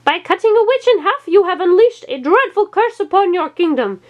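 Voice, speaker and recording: Woman putting on a shrill, scornful witch in a stump. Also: expressive, moderate pace, dull audio. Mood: scornful, menacing. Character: cackling old witch speaking from a tree stump, scornful, menacing.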